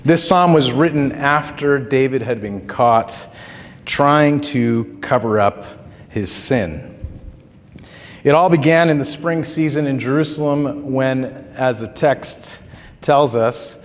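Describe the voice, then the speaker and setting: Hoarse-throated pastor preaching in a reverberant hall. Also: male voice, medium pitch, slow pace. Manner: conversational, folksy storytelling with filler words. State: recovering throat, slightly hoarse. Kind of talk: sermon.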